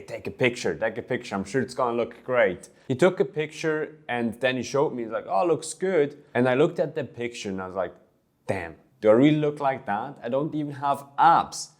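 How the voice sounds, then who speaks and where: A man's voice: medium pitch, a little mellow. Casting: young man from Switzerland, fitness coach, earnest first-person vlog storytelling, mid-range voice. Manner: earnest, storytelling with small restarts.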